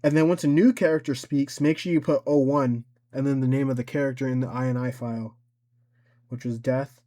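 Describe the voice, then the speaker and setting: A man's voice: medium pitch, steady tone, a little fast, warm tone. Casual man thinking aloud through a tutorial. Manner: rambling, thinking aloud as he works. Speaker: tutorial presenter. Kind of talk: casual screen-recorded software tutorial.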